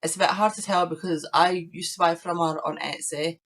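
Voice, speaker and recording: A woman hosting her crafting podcast, chatting casually to camera with a croaky voice while she recovers from illness. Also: on the low side, fairly even intonation, moderate pace, a bit bright. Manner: chatty, rambling, shows knitting to camera. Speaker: podcast host.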